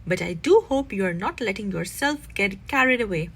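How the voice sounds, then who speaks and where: A woman voicing a gently cautioning mother bear in a storybook, measured and chiding. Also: mid-range voice, expressive, creaky, husky, voice pushed down, heavily acted.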